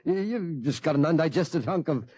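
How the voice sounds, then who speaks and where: An actor playing a worried, bewildered middle-aged father in a 1950s radio drama, halting and incredulous. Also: fairly high pitch, lively intonation, mellow, husky. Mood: dismissive, incredulous. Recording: vintage 1950s radio broadcast recording.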